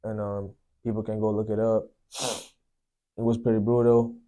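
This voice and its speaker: A young man from Sri Lanka, a guest telling his life story in a soft, unhurried way, often filling with "you know, man". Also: on the low side, flat delivery, muffled recording. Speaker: guest sharing testimony. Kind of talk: personal testimony, casual storytelling.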